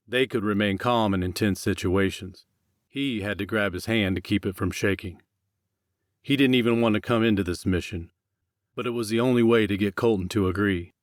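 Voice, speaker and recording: A man's voice: fairly low pitch, dry recording, studio quality. A man reading scripted dramatic fiction as an audiobook narrator.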